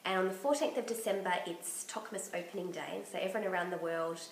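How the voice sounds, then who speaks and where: A woman hosting a knitting podcast in casual chat, her voice croaky from bronchitis, chatty and enthusiastic. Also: fairly low pitch, fast, roomy sound, a little hiss or hum, low-quality recording. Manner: chatty and enthusiastic, laughs often.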